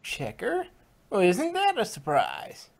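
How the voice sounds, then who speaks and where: A woman's voice: mid-range voice, wildly swooping pitch, slow pace, creaky, no room sound, clean studio sound, heavily acted. A woman voicing an elderly, genteel grandmother pony with a theatrical, musing delivery in a story reading. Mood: pleasantly surprised. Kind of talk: dramatized fan fiction reading.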